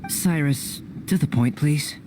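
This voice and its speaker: An actor playing a composed young scholar in an anime-style video game, analytical and detached, with measured, precise, formal speech. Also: male voice, fairly high pitch, noisy background. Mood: bored.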